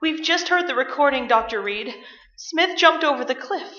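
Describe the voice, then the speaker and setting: Actress as worried, pressing lady of vintage radio drama; high, bright voice in an echoey space. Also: expressive, normal speed. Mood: anxious. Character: anxious, insistent woman awaiting an answer. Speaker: stage radio actor. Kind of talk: 1940s suspense radio acting. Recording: echoey, muffled, distant stage mic.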